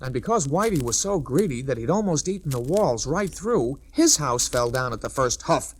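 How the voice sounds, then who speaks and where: A male narrator tells a children's tale with lively, expressive pitch at a medium register. It has the sound of a 1960s story record, with clear noise behind him. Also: normal speed.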